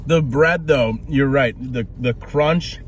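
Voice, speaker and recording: A man's voice: mid-range voice, slow, slight background noise. A man in his thirties co-hosting a casual food review from a parked car, laid-back and amused. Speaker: radio co-host.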